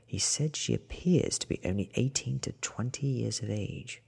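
A man in his thirties giving a soft-spoken, hushed ASMR audiobook reading, breathy and often close to a whisper, slow and deliberate, close to the microphone. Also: mid-range voice. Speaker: reader.

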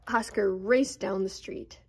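A woman storyteller reading aloud to children in a playful, expressive manner. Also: mid-range voice, a little slow, a little hiss or hum. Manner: playful, expressive, with gasps and interjections. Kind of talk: animated children's read-aloud.

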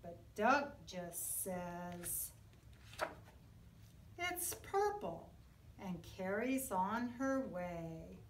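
A middle-aged woman reads a picture book to young children with a very lively, sing-song delivery. She takes her time over each phrase, like a teacher telling a story. The room echoes around her voice, picked up from a short distance. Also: on the low side, drawn-out words, long pauses, a little hiss or hum. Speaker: kindergarten teacher. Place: indoor classroom. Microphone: camera a few feet away. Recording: roomy echo, distant microphone.

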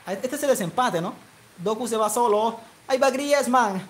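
A young man from Colombia's Caribbean coast with a coastal Colombian accent, casually chatting with viewers and calling a football video game match, his voice climbing when he shouts goal calls. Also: very high-pitched, moderate pace, slight background noise. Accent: Colombian Caribbean coastal Spanish. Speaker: gaming streamer. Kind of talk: live football game commentary and chat.

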